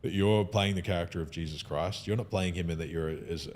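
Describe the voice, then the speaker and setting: Deep, fast-talking opinionated man. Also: darkish tone, a little hiss or hum. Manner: emphatic, opinionated, many restarts. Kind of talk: casual podcast conversation.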